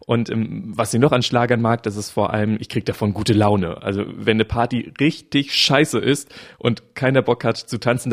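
A German man at an ordinary mid pitch, talking in a relaxed and upbeat way. He explains casually, with a few fillers along the way. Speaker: radio colleague and schlager fan.

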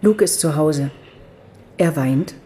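A woman's voice: low voice, slow, mellow. An actress playing an exasperated, accusing wife and mother in a radio drama phone call, with a sarcastic edge. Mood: reproachful, upset. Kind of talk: naturalistic German radio drama dialogue.

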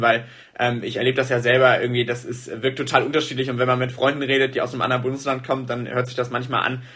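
A man's voice: medium pitch, pushed and bright, slightly rough. A man answering interview questions as a student representative, with many fillers and restarts.

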